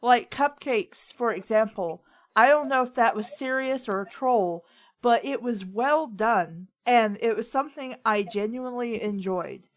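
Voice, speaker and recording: High-voiced woman chatting casually. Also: unhurried. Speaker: host of a fan fiction reading show. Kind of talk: casual chat.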